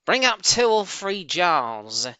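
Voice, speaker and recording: A man's voice: high-pitched, big pitch swings, slow pace, sharp tone, smooth, no echo, pitched above the performer's own voice, heavily acted, poor audio. A man voicing an impatient, bossy farmer's wife in a storybook, calling out brusque orders.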